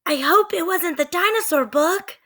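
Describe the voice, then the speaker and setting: A woman voicing an eager, adventurous young girl from a storybook, with expressive, earnest delivery. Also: extremely high pitch, moderate pace, voice pushed up. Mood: earnest, wishing.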